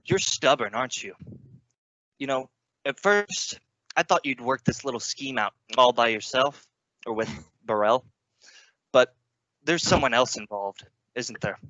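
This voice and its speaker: Guy doing a shrewd dad in radio suspense, mid-pitched, measured and knowing, slightly dull lo-fi sound. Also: expressive, bright, forceful tone, dry recording. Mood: shrewd probing. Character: middle-aged father, genial on the surface, shrewdly probing. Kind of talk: old-time radio suspense acting. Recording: dull, lo-fi audio.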